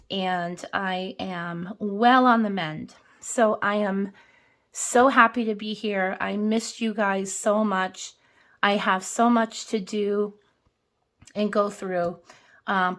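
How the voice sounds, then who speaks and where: A woman with a hoarse voice hosts a casual money vlog. She speaks at an unhurried, slow pace at a middling pitch. She chats easily and counts bills out loud as she goes. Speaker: budgeting channel host. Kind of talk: casual cash-stuffing vlog.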